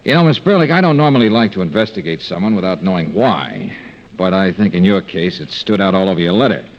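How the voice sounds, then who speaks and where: An actor playing a wisecracking, smooth-talking private investigator in a 1950s-style radio detective drama. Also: male voice, sits low, animated, quick pace. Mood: smooth, teasing. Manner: smooth-talking, casual, teasing. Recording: dull, noisy old-time radio recording.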